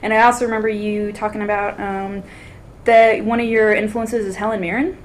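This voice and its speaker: A woman's voice: mid-range voice, level tone, fairly quick, slight background noise, poor audio. Female interviewer, mid-range voice, chatty and enthusiastic. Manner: enthusiastic, chatty, laughs often. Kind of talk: casual interview.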